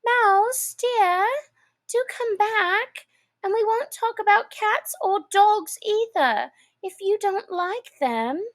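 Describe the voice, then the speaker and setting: A polite, chatty little girl from a storybook, voiced by a woman. The voice sits very high with a lilting, animated melody. She is eager and apologetic, rambling on in an unhurried way. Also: full character voice. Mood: coaxing. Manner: apologetic, soothing, dreamy rambling. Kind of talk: storybook character voice.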